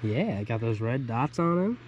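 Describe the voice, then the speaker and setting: A man's voice: medium pitch, very animated, measured pace, a little mellow, lo-fi sound. A man in his thirties chatting casually for an outdoor fishing vlog, laid-back and given to swearing. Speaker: vlog host, angler. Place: outdoors by river, woods and pond. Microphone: action camera on body or at arm's length. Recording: outdoor action-camera sound with faint ambient noise.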